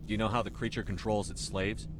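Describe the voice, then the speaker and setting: A man's voice: lowish voice, fairly even intonation, fast, background noise, lightly acted. A man playing a terse, commanding human space military commander in a video game, direct and no-nonsense. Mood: brisk, inquiring. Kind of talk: scripted game dialogue.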